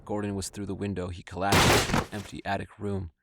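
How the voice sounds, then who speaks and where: A man's voice: low voice, monotone, fast talker, gravelly, very noisy. A man narrating a scripted story in a dramatic style, as the narrator of an audio drama. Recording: loud music behind the voice. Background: loud dramatic music.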